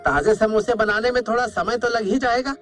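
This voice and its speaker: A man's voice: sits high, brisk, fairly bright tone, faint music behind. A man playing a humble, eager samosa seller in a cartoon story, speaking politely and earnestly. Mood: eager, respectful. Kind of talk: Hindi cartoon story acting.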